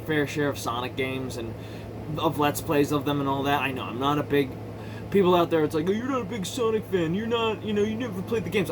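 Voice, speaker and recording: A man's voice: on the high side, noisy background. A man giving casual, rambling movie commentary in an opinionated, sweary and emphatic way. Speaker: amateur movie reviewer.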